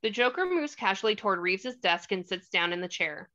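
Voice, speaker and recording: A woman narrates a script's stage directions at a table read. Her mid-pitched voice is bright and sharp. The recording is a little lo-fi. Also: expressive, fairly quick. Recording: slightly dull lo-fi audio.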